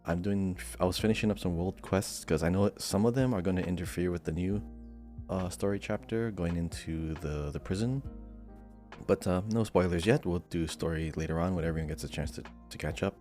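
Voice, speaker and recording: Low-voiced man in casual podcast chat. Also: a little fast, dark tone, soft background music. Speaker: co-host of a gaming podcast.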